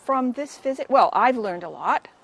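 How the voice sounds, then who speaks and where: A woman in her sixties with a Canadian accent, a volunteer answering interview questions in an earnest, thoughtful way with frequent pauses. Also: a little high, lively intonation, gravelly. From Wales. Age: 60s. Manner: earnest, thoughtful, many ums. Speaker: dig volunteer. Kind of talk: on-camera interview.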